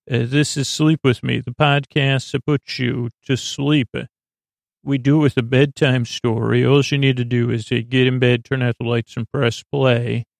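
A man with a creaky voice hosting a podcast, rambling through a bedtime monologue meant to lull listeners to sleep, halting and stammering as he drifts into tangents. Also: mid-range voice, dry recording. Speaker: podcast host.

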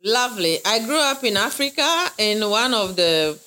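Woman from Ghana, interview guest, earnest and bright, circles back on her points. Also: a little high, expressive, normal speed, poor audio. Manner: earnest, repeats phrases, laughs often. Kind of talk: interview conversation.

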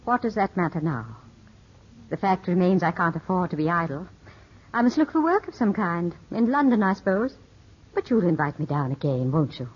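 Husky, genteel widow in vintage radio drama. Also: female voice, on the low side, very animated. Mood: gracious, light. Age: middle-aged. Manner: measured, genteel, intimate, emotionally restrained. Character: poised, enigmatic widow of about thirty-five, wounded and gracious. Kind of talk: 1950s radio drama acting. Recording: dull, muffled old radio recording.

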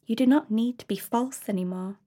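A woman voicing a gentle, sad otherworldly young boy, speaking softly and quietly. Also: on the high side, a little fast, lightly acted. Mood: tender. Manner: soft, quiet delivery.

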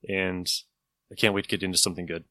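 Bright-voiced man hosting a casual podcast. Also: low voice, little pitch movement, quick pace, no room sound. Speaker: podcast host. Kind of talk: casual chat reviewing old radio shows.

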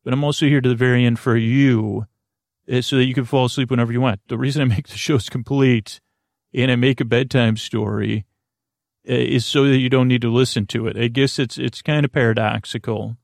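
A man hosting a sleep podcast in a creaky, gravelly voice, rambling casually and whimsically, often halting and interrupting himself as he drifts off on tangents. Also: mid-range voice, fairly even intonation, no room sound, studio quality. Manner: meandering, self-interrupting, halting with trailing tangents; whimsical, mildly absurd. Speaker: podcast host of bedtime stories. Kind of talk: rambling casual monologue meant to lull listeners to sleep.

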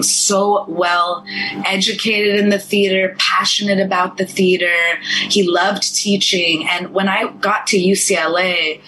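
A woman's voice: fairly low pitch, sharp tone, slight background noise, rough recording. A young American woman, an actor whose first language was Farsi, chatting casually and rambling in an interview. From United States. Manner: chatty, rambling, says "like" a lot. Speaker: actor, guest.

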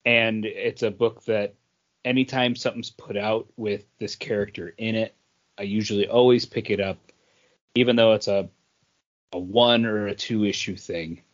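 A man's voice: on the low side, steady tone, normal speed. A man co-hosting a podcast, talking casually and informally with the enthusiasm of a fan. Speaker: podcast co-host. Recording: dull, band-limited podcast audio.

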